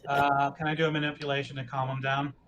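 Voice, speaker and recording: A man of middling pitch takes part in a tabletop roleplaying game as a player. He improvises his character casually, with faint noise behind his voice. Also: flat delivery, fast talker, fairly bright tone.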